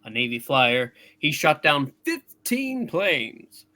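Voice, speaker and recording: A man narrating a scripted play in a hammed-up, deliberate style with dramatic pauses. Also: fairly high pitch, big pitch swings, pushed and bright. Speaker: narrator in a live play reading.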